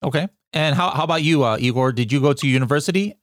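Upbeat podcast host, dry sound. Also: male voice, on the high side, quick pace, dry recording. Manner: upbeat, joking, stumbles over words. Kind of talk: casual podcast interview.

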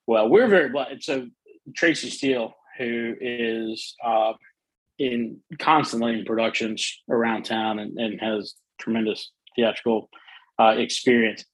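A middle-aged man with a soothing, slightly husky voice, chatting enthusiastically as a guest, with many fillers and restarts. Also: medium pitch, steady tone, unhurried, no echo, poor audio. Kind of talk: casual interview chat.

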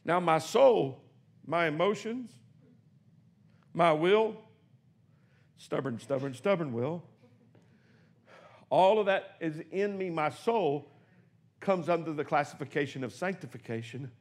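A man in his sixties preaching a sermon in a church, swinging from hushed, quick asides to shouted exclamations. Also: on the high side, expressive. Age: 60s. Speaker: pastor. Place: church sanctuary.

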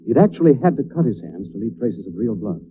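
An actor playing a confident detective who explains things with assurance in 1940s radio drama style. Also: male voice, medium pitch, big pitch swings, fast talker, close to an everyday voice. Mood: assured, explaining. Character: confident male detective explaining a solved case. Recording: noisy, tinny old radio recording.

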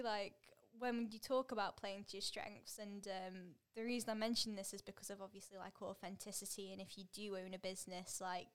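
A woman's voice: medium pitch, smooth. A woman hosting a marketing podcast, chatty and eager, peppering her talk with "like" and easy laughter. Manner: chatty, lots of "like" fillers, giggly and eager. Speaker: podcast host. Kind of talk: casual podcast interview.

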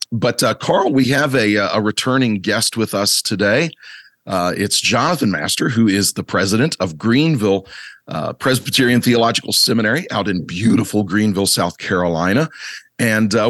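A man hosting a podcast interview, close to losing his voice from allergies and talking in a halting, wry way with many restarts. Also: lowish voice, a bit bright, no echo. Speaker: podcast host.

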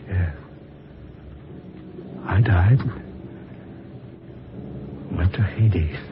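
A low-voiced, haunted undead husband. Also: male voice. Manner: halting, haunted, melodramatic. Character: bewildered husband back from the dead, bound to Satan. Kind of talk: old-time radio drama acting. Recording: muffled, noisy old radio recording.

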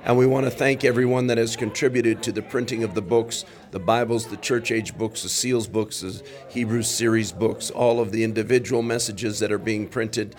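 Deep-voiced podcast host saying amen. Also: male voice, fairly even intonation, moderate pace, few pauses. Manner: warm, affirming, frequent amens. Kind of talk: interview on a mission trip.

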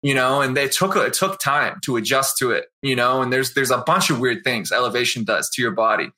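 Laid-back young singer. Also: male voice, medium pitch, a little fast, bright, forceful tone. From outside Boston, Massachusetts. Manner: laid-back, rambling, full of "you know" and "right?". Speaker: guest, singer. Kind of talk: casual interview chat.

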